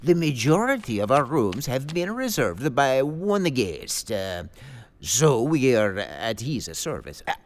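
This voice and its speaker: An actor playing an obliging, apologetic Italian hotel keeper in an audio drama, with a hesitant, deferential way of speaking and an Italian accent. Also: male voice, medium pitch, big pitch swings, takes it slowly, a little hiss or hum.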